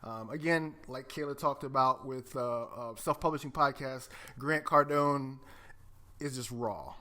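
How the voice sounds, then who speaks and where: A man's voice: mid-range voice, a little slow, sharp tone. A man co-hosting a podcast in casual conversation, talking in an enthusiastic, emphatic way. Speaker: podcast co-host.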